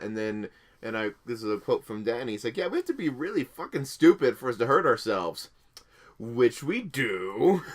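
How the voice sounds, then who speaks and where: A young man hosting a casual podcast, rambling through stories and laughing often. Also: medium pitch. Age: young adult. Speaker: podcast host and editor. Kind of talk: casual chat.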